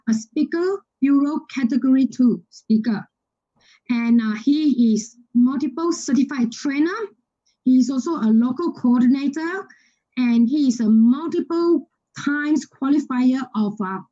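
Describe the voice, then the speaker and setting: A woman's voice: sits high, mellow. A woman speaking as the host of an online business event, introducing things with enthusiastic emphasis. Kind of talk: scripted-sounding event introduction. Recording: dull, lo-fi online call audio.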